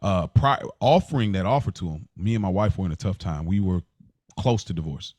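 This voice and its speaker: A man's voice: deep voice, brisk, darkish tone, no echo. A man co-hosting a podcast, telling personal stories casually, often repeating words and restarting his phrases. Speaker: podcast co-host. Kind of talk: casual personal storytelling in conversation.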